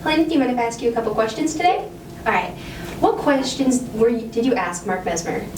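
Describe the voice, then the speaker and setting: Quick, polite teen girl interviewer. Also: a little high, big pitch swings. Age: teens. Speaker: student reporter. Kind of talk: school broadcast interview. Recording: roomy, distant school recording with noise.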